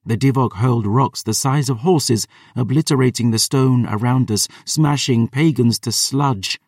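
A man's voice: mid-range voice, unhurried, darkish tone, dry recording, clean studio sound, recorded from a distance, pitched above the performer's own voice. A man voicing a proud, battle-hardened female vampire warrior, telling of battle in an intense, grave, theatrical way. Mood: fierce, grim. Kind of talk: dramatic first-person storytelling.

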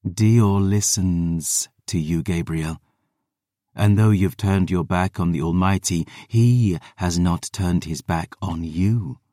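Cold imperious vampire sister, read by a man, slow and solemn, dry close sound. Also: deep voice, dark tone, no room sound. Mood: grave. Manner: slow, measured, grave delivery. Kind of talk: audiobook character voice.

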